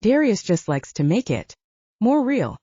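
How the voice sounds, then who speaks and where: Mellow, expressive woman narrating fiction. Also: mid-range voice, measured pace, slightly rough, no echo, lo-fi sound. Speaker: audiobook narrator. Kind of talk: dramatic scripted fiction narration.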